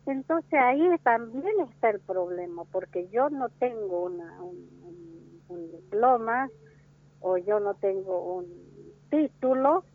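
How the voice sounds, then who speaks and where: A slow-speaking woman whose first language is Wichí, from northern Argentina. She answers in halting phrases full of restarts. Her voice comes through a thin, phone-like line. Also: mid-range voice, animated. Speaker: interviewee. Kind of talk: interview answer.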